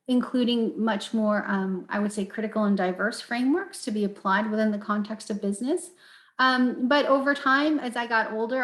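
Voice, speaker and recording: A middle-aged woman from Turkey, a professor answering interview questions in a thoughtful, measured way with frequent pauses. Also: mid-range voice. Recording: roomy echo.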